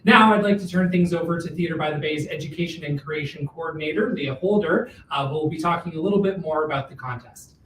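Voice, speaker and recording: A man's voice: quick pace, hardly any pauses, a bit bright. A man giving a live welcome speech as host of a theatre event. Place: indoor venue with in-person audience. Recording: echoey room, distant mic, faint rumbling noise.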